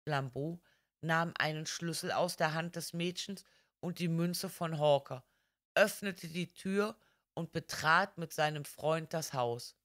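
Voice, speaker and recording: A woman's voice: deep voice, takes it slowly, a bit bright. A woman reading a literary crime story as a scripted audiobook narration.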